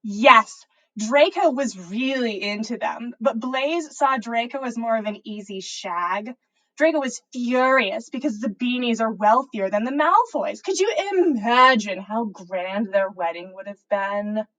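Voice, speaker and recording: A woman's voice: on the high side, animated, measured pace, fairly bright tone, low-quality recording. A woman voicing a boastful, boy-crazy teenage witch schoolgirl who gushes and stretches out her words for emphasis in a story reading. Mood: boastful, gossipy.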